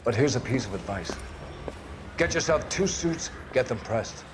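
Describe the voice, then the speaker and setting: An actor playing a pious, menacing older police captain, speaking in deliberate, sermon-like oratory for a video game. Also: male voice, mid-range voice, lively intonation, background noise, lightly acted. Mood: approving. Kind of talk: cinematic video game acting.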